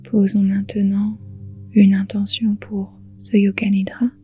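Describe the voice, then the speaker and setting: A woman guiding a relaxation meditation in a soothing, hushed, breathy voice, leaving long pauses between phrases. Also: mid-range voice, level tone, muffled recording, soft background music. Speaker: yoga nidra guide.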